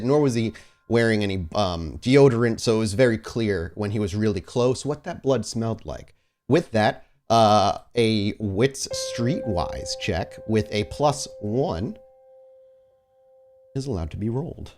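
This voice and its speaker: A man's voice: fairly low pitch, lively intonation, normal speed, darkish tone. A man acting as storyteller for a tabletop roleplaying game, narrating in a descriptive, cinematic way. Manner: descriptive, cinematic scene-setting.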